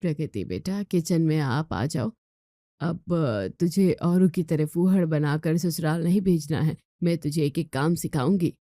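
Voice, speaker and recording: A woman's voice: low voice, unhurried, warm tone, dry recording, clean studio sound. A woman voicing a weary, firm middle-aged mother-in-law who scolds in a family storybook tale.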